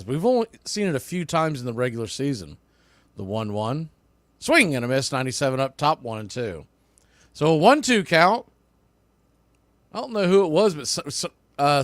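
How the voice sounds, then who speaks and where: A man's voice: medium pitch, no echo. A man doing live baseball play-by-play in clipped short phrases, with casual asides.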